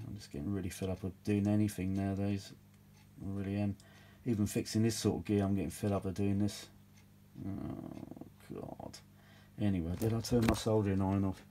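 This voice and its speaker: A British man with a fairly low, very mellow and relaxed voice talks off the cuff in a casual, rambling way. He sounds world-weary and grumbles as he goes, with the odd mild swear word. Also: vocal fry, slight background noise. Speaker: vintage audio repair hobbyist presenting his own videos. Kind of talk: casual rambling workbench chat.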